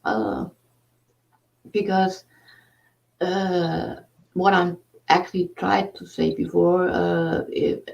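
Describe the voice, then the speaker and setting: Danish-accented woman host, slow and halting, gravelly tone, distant mic with dull lo-fi sound. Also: lowish voice, a little mellow. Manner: halting, many fillers. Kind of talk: casual conversation.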